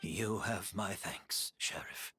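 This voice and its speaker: An actor playing a courteous, weary, ailing man resigned to his fate in a video game, speaking in a measured, earnest way. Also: low voice, pushed and bright, soft background music, full character voice. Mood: grateful. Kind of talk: dramatic video game voice acting.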